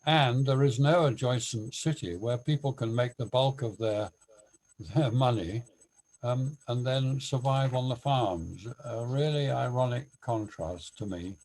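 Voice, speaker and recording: A man giving a reflective, measured comment in an online discussion, pausing hesitantly as he thinks. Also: mid-range voice, a little mellow, poor audio. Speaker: webinar participant.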